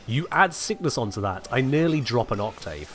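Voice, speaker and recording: A man's voice: mid-range voice, big pitch swings, moderate pace, a bit bright. A man streaming a game while sick, chatting casually and answering his chat, with a cough now and then.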